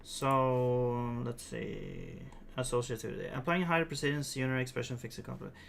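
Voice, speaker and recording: A man's voice: medium pitch, sing-song, moderate pace, creaky, a little hiss or hum. A man with a Swedish accent, casually livestreaming while he codes, thinking aloud in mumbled half-sentences and chuckling now and then. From Sweden. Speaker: streamer.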